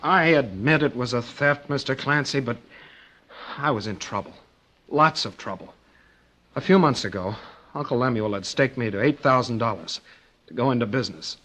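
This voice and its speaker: An actor playing a guilty, desperate young nephew under suspicion in an old-time radio drama, hesitant and defensive. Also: male voice, medium pitch, expressive, moderate pace, a bit bright. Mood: hesitant confession. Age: young adult.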